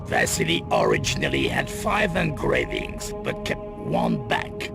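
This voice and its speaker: An actor playing a grim, guarded middle-aged crime boss in a video game cut-scene, with a gravelly voice and grave, deliberate delivery. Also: male voice, a bass voice, huge pitch swings, full character voice. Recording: loud music under the voice.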